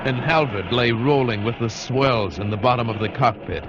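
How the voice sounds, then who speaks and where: A man's voice: medium pitch, moderate pace, background noise, close to an everyday voice. An American man acting an adventurous, protective ship's skipper who narrates a perilous escape in 1940s radio drama style. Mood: grave, moved. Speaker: narrator and lead actor. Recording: muffled vintage radio recording, music behind.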